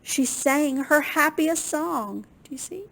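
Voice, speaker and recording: A woman reading a picture book aloud to children in an expressive, unhurried way, with small asides to her listeners. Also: high-pitched, creaky. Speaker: children's storytime reader at a library.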